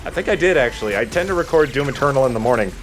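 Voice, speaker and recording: A man's voice: mid-range voice, animated, racing, a little hiss or hum, low-quality recording. A man giving casual let's play commentary in a playful, self-deprecating way, swearing casually. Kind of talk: casual gameplay commentary with banter.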